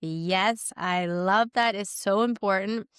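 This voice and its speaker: A woman from Canada hosting a podcast, enthusiastic and gushing, her voice a bit raspy from a cold. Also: medium pitch, slow pace, dry recording, clean studio sound. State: getting over a cold, raspy. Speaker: podcast host. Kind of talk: podcast intro and interview. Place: home in Sweden.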